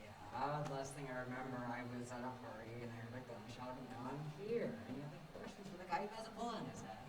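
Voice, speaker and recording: A man's voice: medium pitch, animated, fast talker, natural voice. Man acting a volatile, hostile young guy, echoey. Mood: guarded, sarcastic. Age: young adult. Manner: sarcastic, combative. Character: defensive, hostile, guilt-ridden young man hiding a secret. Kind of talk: student stage play acting. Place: indoor stage, recorded from afar. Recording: distant echoey stage recording, loud background noise.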